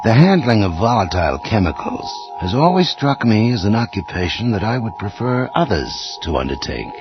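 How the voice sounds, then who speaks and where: An actor playing a sinister, droll horror host, giving measured, formal introductions on a radio anthology. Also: male voice, fairly low pitch, very animated, lo-fi sound. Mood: ominous. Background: music.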